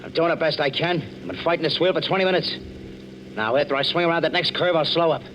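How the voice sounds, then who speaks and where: A man's voice: on the high side, fast, lightly acted. A man playing a tough, curt getaway driver in a 1940s radio crime drama. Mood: gruffly tense. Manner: curt, commanding, slangy. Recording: dull, very noisy vintage radio recording. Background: vehicle noise.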